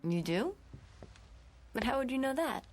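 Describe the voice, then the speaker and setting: An actress playing a kind, slightly uneasy schoolteacher in her twenties in a radio drama, talking in a gentle, coaxing way. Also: high voice, very animated, measured pace, slight background noise, heavily acted. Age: young adult. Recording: radio drama with prominent music underneath.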